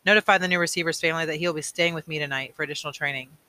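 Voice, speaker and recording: A man voicing a grave, weary male elder mentor in a storybook, measured and earnest. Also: on the high side, fairly even intonation, sharp tone. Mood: firm.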